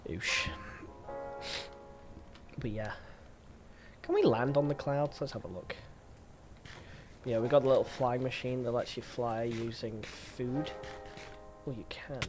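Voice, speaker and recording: Casting: man, relaxed live gaming streamer, slow-paced and a bit stuffed up, self-deprecating, music playing underneath. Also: mid-range voice. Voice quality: stuffy, congested. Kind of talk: casual game stream commentary.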